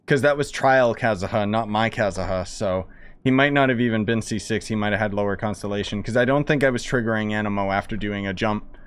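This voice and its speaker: A man's voice: on the low side, fairly quick, clean tone. A man streaming a video game, giving casual, blunt and flippant commentary in slangy talk. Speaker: gaming streamer.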